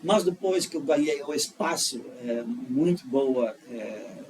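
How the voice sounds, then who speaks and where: A middle-aged man with a Dutch accent, a guest telling his life story in a casual, halting way, full of restarts and fillers. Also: medium pitch, expressive, a little mellow. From Netherlands. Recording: slightly distant mic with faint noise.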